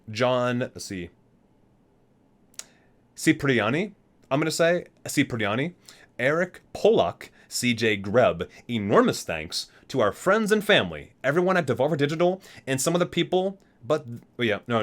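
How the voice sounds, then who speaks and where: A man hosting an ASMR unboxing, chatting casually and reading aloud, rambling, correcting himself and marveling at small details. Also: medium pitch. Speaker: video host, gaming fan.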